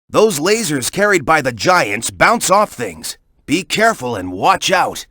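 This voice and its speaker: Lively, high-pitched young game sidekick. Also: male voice, no room sound, studio quality. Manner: casual, peppy, instructive. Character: upbeat, cocky young male video game sidekick. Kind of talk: video game tutorial hints and encouragement.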